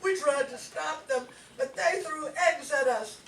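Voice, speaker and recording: Woman putting on a high, dopey reindeer. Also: a little slow, fairly bright tone, a little hiss or hum. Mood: dopey, upset. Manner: dopey, plaintive. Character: dim-witted, distraught reindeer. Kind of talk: amateur comic radio play acting. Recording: echoey room, distant mic.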